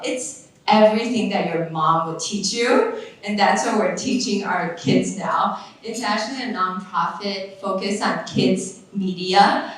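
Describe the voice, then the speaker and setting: A woman's voice: mid-range voice, fairly bright tone. A woman in her thirties from Taiwan giving a chatty conference talk, full of fillers and restarts. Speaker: summit speaker, nonprofit founder. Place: large echoey conference hall. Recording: echoey hall, voice from far off.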